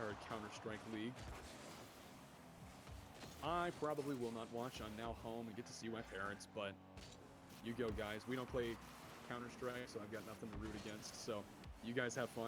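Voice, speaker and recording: A young man with a deep, mid-pitched voice rambles casually between calling plays, often restarting his sentences as he reads his stream chat. A steady hiss of noise sits under the home recording. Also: normal speed. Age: young adult. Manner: rambling, chatty, restarts often, bursts louder on goals. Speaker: student esports commentator. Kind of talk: casual solo game commentary and chat reading. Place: home room.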